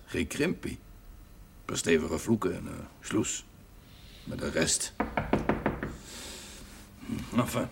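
Deep-voiced, cynical country doctor. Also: male voice, slight background noise. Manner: disdainful grumbling, clipped phrases. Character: cynical, educated male country doctor, an outsider. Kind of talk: television drama acting.

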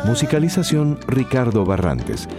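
A man's voice: mid-range voice, huge pitch swings, normal speed, music in the background. A man giving scripted narration as the narrator of a radio drama.

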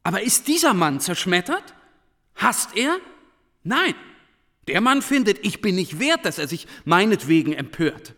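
Radio drama: reflective, indignant schoolteacher, highish and very animated, in a reverberant space. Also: male voice, a little slow, exaggerated voice. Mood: agitated. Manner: reflective, questioning, intense. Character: brooding, earnest male schoolteacher, fascinated and indignant. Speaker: actor in a radio play. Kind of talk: German radio drama dialogue.

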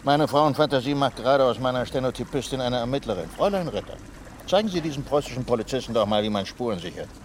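An actor playing a gruff, condescending police commissioner giving commanding orders in a German radio drama. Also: male voice, lively intonation, fast, close to an everyday voice. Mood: mocking. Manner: biting, condescending. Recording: noisy outdoor scene with vehicle rumble.

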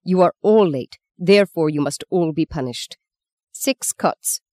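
Sister Agatha, a narrator doing a dry old Irish nun. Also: female voice, low voice, expressive, warm tone, no room sound. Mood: harsh. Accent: faded Irish. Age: 70s. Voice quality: dry, clipped. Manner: barking, slow, deliberate. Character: stern elderly nun teacher, cold and harsh.

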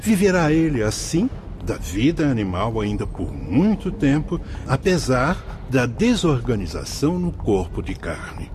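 A Brazilian man playing an earnest, kindly spirit guide in a radio drama, speaking in a formal, measured, instructive way. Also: mid-range voice, very animated, a little mellow, a little hiss or hum. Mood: grave, reassuring. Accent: Brazilian Portuguese.

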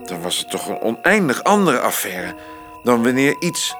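An actor playing a drunk, brooding astronomer who thinks aloud in a halting, rambling radio drama monologue. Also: male voice, mid-range voice, sing-song, music in the background, close to an everyday voice. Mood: excited revelation. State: tipsy.

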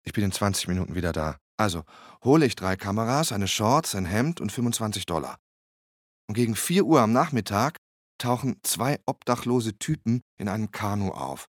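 Hurricane survivor, low voice, plain first-person storytelling, dry radio drama sound. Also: male voice, expressive, moderate pace, no echo. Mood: recounting, weary. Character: male hurricane survivor, photographer, recounting his rescue.

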